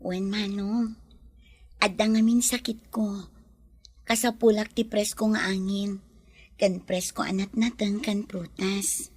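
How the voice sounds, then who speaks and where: A woman with an Ilocano accent playing a gentle, trusting, ailing city businesswoman in a radio drama. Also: medium pitch, level tone, slow, pauses often, slightly rough. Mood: calm, friendly.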